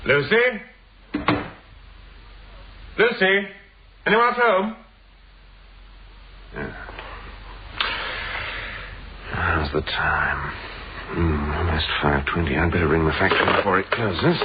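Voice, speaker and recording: Deep, animated businessman, muffled old radio drama. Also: measured pace, long pauses, heavy background noise. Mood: impatient. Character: brisk businessman running a frozen-food firm, driven, impatient.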